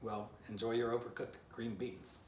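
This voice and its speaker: A man's voice: medium pitch, steady tone, moderate pace, darkish tone. A man narrating a children's storybook as its author, with playful asides straight to the listener. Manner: playful direct address to the listener. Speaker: author reading aloud. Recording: roomy, distant mic, faint noise.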